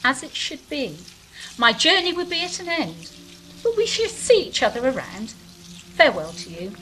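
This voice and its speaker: A woman playing an idealistic, earnest angel in an audio drama, speaking in a measured, philosophical way. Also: high-pitched, big pitch swings, sharp tone, slight background noise, heavily acted, rough recording. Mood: solemn. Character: idealistic, earnest female angel, wistful and stately. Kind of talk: stage-style audio drama acting.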